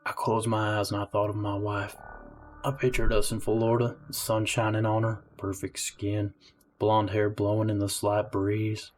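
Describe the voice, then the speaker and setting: A man's voice: lowish voice, monotone, normal speed, a little mellow, faint music behind, lightly acted. A man playing a grim, hard-boiled police detective who narrates his story in an amateur audio drama. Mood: wistful, resigned. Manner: somber first-person storytelling.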